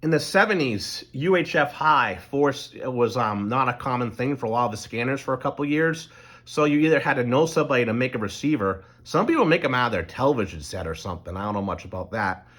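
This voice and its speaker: Brief: middle-aged man, mid-pitched voice, loose unscripted monologue with frequent fillers and restarts. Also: animated, a little fast, a bit bright. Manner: rambling, loose, full of ums and restarts. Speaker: channel host. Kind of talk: casual explanatory monologue.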